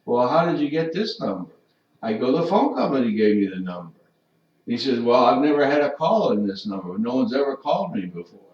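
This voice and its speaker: A man's voice: medium pitch, big pitch swings, a little fast, a little mellow. A man co-hosting a casual radio talk show, telling a rambling story with a joking manner. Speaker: radio co-host. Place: Las Vegas radio studio. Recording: distant roomy lo-fi mic.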